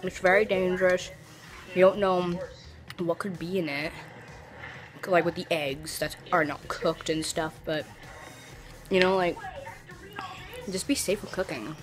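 A woman's voice: lowish voice, steady tone, unhurried, pauses often. A young woman chatting casually through a home baking video, filling her talk with "like" and "you know". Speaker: cooking vlogger. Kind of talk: casual home cooking tutorial. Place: home kitchen, indoors. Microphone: phone or camera at arm's length. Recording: music playing underneath.

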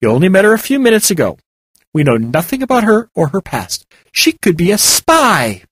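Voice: mid-range voice, wildly swooping pitch, normal speed, slightly rough, dry recording, pitched above the performer's own voice. A narrator voicing a sardonic, distrustful fantasy companion who talks in a mocking tone. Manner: sarcastic, mocking.

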